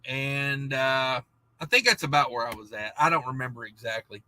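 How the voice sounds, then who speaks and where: A man hosting a trucking livestream, reading viewer comments in a rambling, laid-back chat, and his voice is going hoarse. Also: mid-range voice, normal speed, sharp tone. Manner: rambling, laid-back, many fillers and restarts. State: losing his voice.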